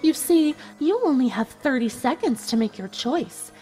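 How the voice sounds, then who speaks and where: A woman acts out a vengeful cartoon unicorn villainess in an audio drama. Her voice sits fairly high and she taunts with calculated, scornful deliberation. Soft music plays behind her. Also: lively intonation, normal speed. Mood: gloating menace. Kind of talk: dramatic audio fan fiction acting.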